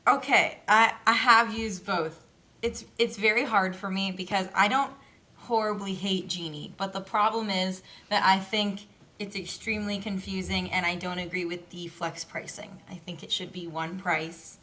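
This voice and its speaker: A woman's voice: mid-range voice, fairly even intonation, moderate pace, pushed and bright. A young woman co-hosting a casual podcast, chatty and opinionated, often laughing as she talks. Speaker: podcast co-host. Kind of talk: casual chat.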